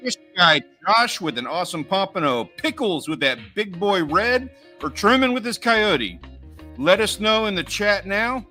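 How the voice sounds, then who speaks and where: American guy hosting a chill livestream, bright voice, bit of music in the back. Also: high-pitched, expressive, measured pace. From United States. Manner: laid-back, chatty, reads viewer names and runs polls. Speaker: livestream co-host. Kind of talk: casual livestream chat.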